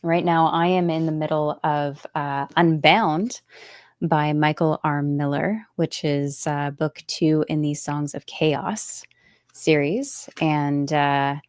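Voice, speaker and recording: Female book-show host, low register, casual conversational delivery, dry sound with little room. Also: steady tone, slow pace, no room sound. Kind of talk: casual book chat interview.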